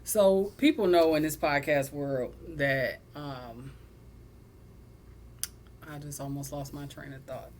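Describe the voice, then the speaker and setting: A young adult woman hosting a casual sibling podcast, blunt and animated, cussing freely and laughing often. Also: deep voice, slow, long pauses, a little hiss or hum. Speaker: podcast host.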